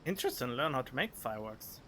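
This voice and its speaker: A woman's voice: rumbling low, very animated, quick pace, a bit bright, a little hiss or hum. A woman doing casual gameplay commentary as a streamer, reacting to game dialogue. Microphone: studio condenser microphone. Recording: game music behind the voice.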